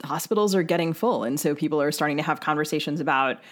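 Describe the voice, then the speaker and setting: A woman co-hosting a conversational interview podcast, thinking aloud with halting, restarted sentences. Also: low voice. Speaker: podcast co-host.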